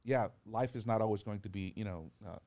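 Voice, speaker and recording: Earnest pastor telling stories. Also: male voice, fairly low pitch, fairly quick, dark tone. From Georgia, US. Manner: earnest, encouraging storyteller who acts out quotes. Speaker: pastor and guest. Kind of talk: casual podcast conversation.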